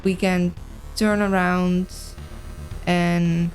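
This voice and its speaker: A woman's voice: lowish voice, little pitch movement, extremely slow, a little mellow, soft background music, rough recording. A woman with a mild, mixed Dutch accent, streaming a game in casual chat and thinking aloud hesitantly. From Netherlands. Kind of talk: casual livestream chat and puzzle-game commentary.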